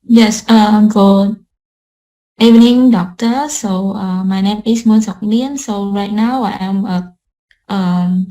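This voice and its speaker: A woman's voice: medium pitch, mellow, low-quality recording. A woman who works in an education department, politely introducing herself with some hesitation on a video call.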